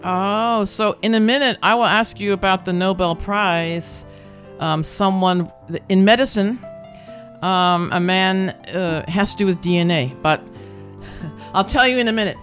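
A woman's voice: medium pitch, unhurried, fairly bright tone, soft background music. A woman hosting a radio programme in a studio, interviewing guests in an enthusiastic, encouraging way. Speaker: radio show host.

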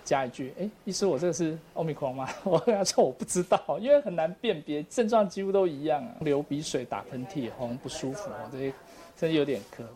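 Fast-talking Taiwanese man, mellow tone. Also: fairly high pitch. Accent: Taiwanese Mandarin. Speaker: doctor interviewee. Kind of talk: spontaneous interview answer. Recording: faint ambient noise behind interview.

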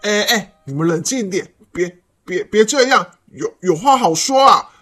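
A woman's voice: mid-range voice, lively intonation, moderate pace, loud music behind the voice, close to an everyday voice. A woman voicing a boastful, cowardly male beetle official who gloats and stammers in a children's story. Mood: panicked stammering. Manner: gloating, then stammering and pleading.

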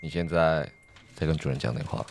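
A man's voice: a bass voice, fairly quick, vocal fry, slight background noise. A man with a Taiwanese accent playing a domineering, taunting, impatient master in an audio drama. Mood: stern, commanding. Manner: commanding, taunting.

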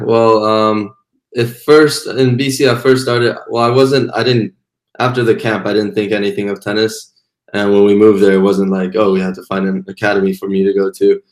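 Young guy from taiwan chatting on a podcast, pretty flat tone, kinda roomy echo. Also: lowish voice. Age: young adult. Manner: relaxed storytelling with fillers. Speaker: guest. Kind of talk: casual interview answers.

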